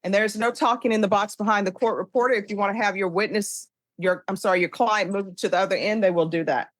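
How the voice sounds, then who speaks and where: Female judge, American, mid-range pitch. Brisk, authoritative, formal recitation of procedure, recorded dry. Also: steady tone, no echo. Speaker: judge presiding over a plea hearing. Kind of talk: formal procedural courtroom questioning. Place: courtroom.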